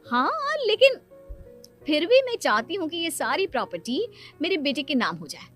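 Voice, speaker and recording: A woman's voice: high voice, very animated, normal speed, a bit bright, soft background music, pitched above the performer's own voice. A woman voicing a scheming young mother and sister-in-law in a cartoon moral story, coaxing and insistent.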